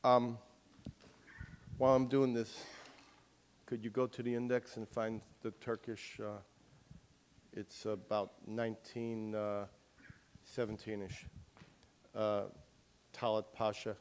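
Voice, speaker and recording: A man's voice: fairly low pitch, level tone, slow pace, long pauses, slight background noise. A man giving an emphatic lecture as an author and taking audience questions. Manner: emphatic, forceful.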